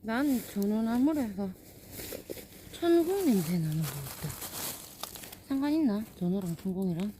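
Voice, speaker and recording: A woman's voice: medium pitch, very animated, normal speed, pauses often, mellow, a little hiss or hum. A woman with a Gyeongsang accent chatting playfully to the camera while picking wild greens on a steep outdoor hillside. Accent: Gyeongsang dialect. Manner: chatty, playful, laughs often. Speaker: vlogger. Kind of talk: casual vlog chat while foraging.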